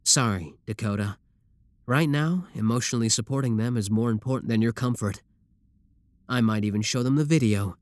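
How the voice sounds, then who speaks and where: Guy doing a yandere brother for asmr, normal pitch, dry close sound, supportive but obsessed, says creepy stuff matter of factly. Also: moderate pace, no echo, natural voice. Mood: teasing, possessive. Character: obsessive, adoring yandere sibling kidnapper, calmly supportive. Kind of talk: scripted ASMR roleplay addressed to the listener.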